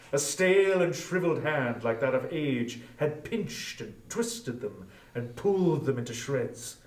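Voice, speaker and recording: A man narrating a literary story theatrically, with deliberate phrasing and weight on each listed word. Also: mid-range voice, a little mellow. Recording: echoey room, distant from mic.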